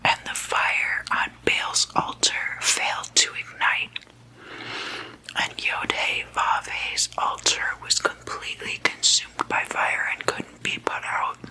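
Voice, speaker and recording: A woman whispers in an ASMR style, slowly and deliberately. The whisper is crisp and very bright, with an informal, gently playful delivery. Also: low-quality recording. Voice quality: breathy unvoiced whisper. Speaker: ASMR video host.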